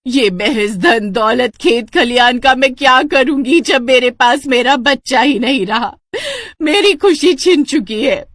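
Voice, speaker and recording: A woman's voice: sits high, measured pace, a bit bright, dry recording, studio quality. A woman voicing an anxious village wife and mother in a cartoon melodrama, with a lamenting, melodramatic manner. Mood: grief-stricken.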